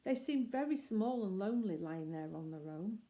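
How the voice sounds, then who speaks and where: A woman reading a children's story aloud in a gentle, measured way. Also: mid-range voice, expressive. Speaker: narrator.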